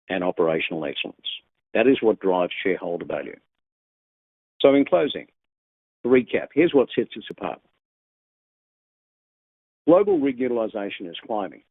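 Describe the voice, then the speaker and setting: Husky, animated male executive on conference line. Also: lowish voice, no echo. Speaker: company executive. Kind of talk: scripted earnings call remarks. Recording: narrow-band conference phone line.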